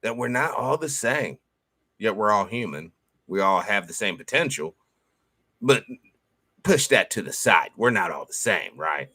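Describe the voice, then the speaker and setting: A man's voice: lowish voice, very animated, a little slow, vocal fry, long pauses, pushed and bright, slightly rough. A man reacting to a speech clip, giving blunt, critical rebuttals in a casual way. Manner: critical, plain-spoken rebuttals. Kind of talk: casual commentary.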